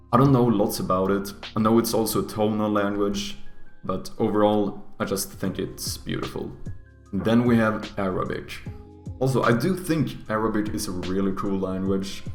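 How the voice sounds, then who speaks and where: A young Swedish-accented man, joking and teasing as he ranks things. His voice sits very low and gravelly, with lots of fry. It sounds roomy and echoey, with faint guitar music behind him. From Sweden. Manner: joking, teasing, mock-harsh. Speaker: YouTuber. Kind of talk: casual tier-list commentary.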